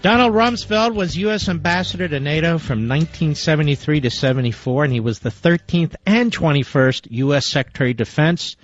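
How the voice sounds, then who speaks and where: A man's voice: fairly high pitch, fairly quick, hardly any pauses, faint music behind. A man hosting a talk radio show, reading quotes aloud with sarcastic asides and interviewing a guest. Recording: dull lo-fi radio audio.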